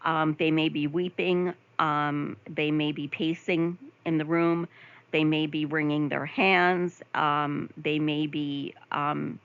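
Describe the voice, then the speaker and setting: A woman instructor giving a conversational recorded lecture over slides, with frequent ums, asides, and throat clearing. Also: low-pitched, level tone, takes it slowly, fairly bright tone, dull audio. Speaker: nursing instructor.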